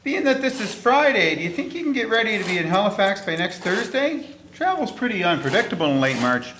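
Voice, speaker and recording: A man's voice: sits high, big pitch swings, fairly quick. A man playing a plain-spoken small-town barber who scolds kindly in a radio drama. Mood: practical. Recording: echoey room with clear noise.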